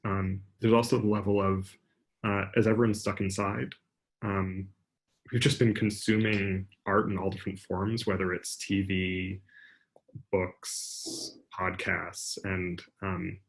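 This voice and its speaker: A man's voice: low-pitched, level tone, slow, vocal fry, poor audio. A man speaking as an artist on an online panel, reflecting aloud in a conversational way. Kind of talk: reflective panel discussion talk. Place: online video panel during lockdown.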